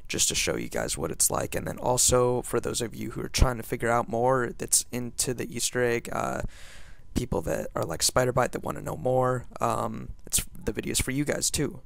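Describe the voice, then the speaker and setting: A young man talks casually through a gameplay video. His voice has noticeable fry and sounds a little sick. He is informal and hesitant in his delivery. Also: medium pitch, lively intonation, moderate pace, no echo. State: sick, voice slightly off. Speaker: gaming video creator.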